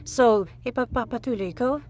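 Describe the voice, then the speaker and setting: A woman's voice: a little high, normal speed, a little mellow, dull audio. A woman in her twenties with a Filipino accent, narrating a casual vlog in a chatty way and mixing in English phrases. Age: 20s. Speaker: vlogger, ESL teacher working from home.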